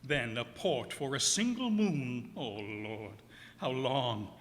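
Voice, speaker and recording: A man's voice: medium pitch, lively intonation, slow, fairly bright tone, slight background noise. A man playing a blasphemous, proud ship's captain under a curse, declaiming in archaic, anguished radio drama style. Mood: weary despair. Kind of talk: old-time radio drama acting. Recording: echoey hall, stage performance.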